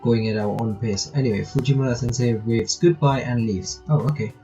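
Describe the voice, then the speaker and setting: A man giving casual playthrough commentary and reading game text aloud, halting and often repeating his words. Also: medium pitch, warm tone, highs cut off, soft background music. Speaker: gaming reaction host.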